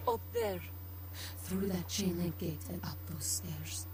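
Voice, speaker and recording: A woman's voice: on the low side, wildly swooping pitch, slow pace, noisy background, heavily acted. A woman voicing a cryptic, dismissive stranger in a video game. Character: cryptic, dismissive woman who knows too much.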